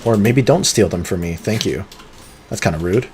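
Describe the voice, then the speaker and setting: A man streaming a game live, talking through it in an excitable, competitive way, with sudden gasps and pleading. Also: low-pitched, moderate pace, a little hiss or hum. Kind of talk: live gameplay commentary.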